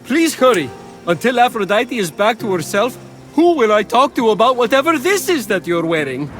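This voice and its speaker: A man's voice: extremely high pitch, very animated, normal speed, soft background music. A man voicing a flippant, fussy trickster god in a video game, theatrical and teasing. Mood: wry, urgent. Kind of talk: animated video game voice acting.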